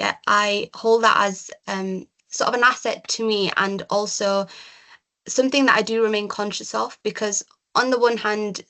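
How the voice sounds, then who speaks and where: A young woman whose first languages are Urdu and Punjabi, giving a measured slide talk as a community mental health worker, with frequent ums. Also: mid-range voice, a bit bright. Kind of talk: presentation with slides.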